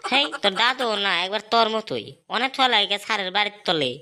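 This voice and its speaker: A woman voicing an excitable, grumbling schoolboy sidekick in a comedy cartoon, with a rural Bangladeshi Bengali accent. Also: mid-range voice, quick pace. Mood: dismayed.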